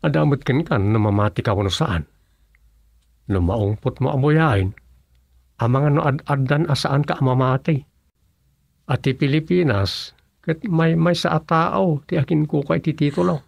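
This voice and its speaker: An actor playing an earnest, insistent teller of absurd tall tales in a comic Ilocano radio drama, with an Ilocano accent. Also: male voice, on the high side, a little slow, gravelly, pauses often, no room sound, studio quality.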